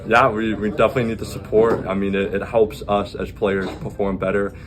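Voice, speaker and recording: A young college soccer player from Virginia, answering in a plain, steady way. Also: male voice, fairly low pitch, brisk, a crowd in the background. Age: young adult.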